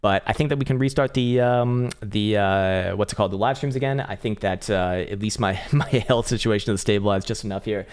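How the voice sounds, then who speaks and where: A man hosting a live crypto trading stream, talking loosely and profanely with halting restarts as he reads viewer chat, his voice getting strained and hoarse. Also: on the low side, a little mellow. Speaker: crypto trading livestream host. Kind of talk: casual live-stream chart commentary.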